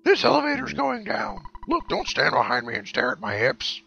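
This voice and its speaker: A man voices a haughty elevator attendant in a video game. The voice sits a little high and sounds bright. Each line is prim and curt, as if passengers are a nuisance. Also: wildly swooping pitch, normal speed, gravelly, lo-fi sound, soft background music, exaggerated voice. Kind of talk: video game character read-aloud.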